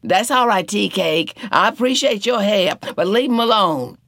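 A man's voice: high-pitched, animated, a little slow, gravelly, pushed and bright, dry recording, voice pushed up, exaggerated voice. A man voicing a middle-aged woman who runs a café, polite and a little fussy.

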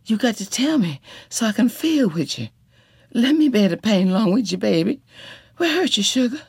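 A tender, anxious young wife, as a woman reader puts her on, with a husky, raised voice that climbs and falls. Her drawl is warm and coaxing, heard up close with no room sound. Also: on the low side, sing-song, moderate pace, dry recording, voice pushed up, heavily acted. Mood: soothing.

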